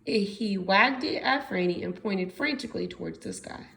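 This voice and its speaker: A woman's voice: medium pitch, animated, normal speed, vocal fry, sharp tone. A woman with an American accent reading a storybook aloud expressively, as a teacher does for young children. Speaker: second grade teacher.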